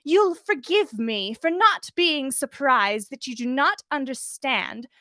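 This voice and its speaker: Casting: woman playing a prim, condescending older witch for storytelling; high, swooping, sickly sweet and indignant, every word pointedly enunciated. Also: lively intonation, takes it slowly, pushed and bright, dry recording, heavily acted. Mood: sweetly condescending. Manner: haughty, over-enunciated, scolding formality.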